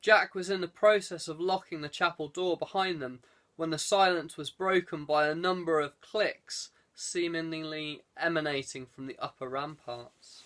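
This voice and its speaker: A man's voice: fairly high pitch, steady tone, moderate pace, a bit bright. A man reading an adventure novel aloud as scripted audiobook narration. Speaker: audiobook reader.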